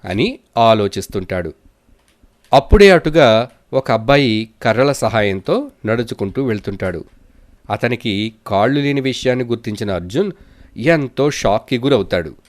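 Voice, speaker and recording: Man, average pitch, storyteller for scripted children's moral tales. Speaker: storyteller narrator.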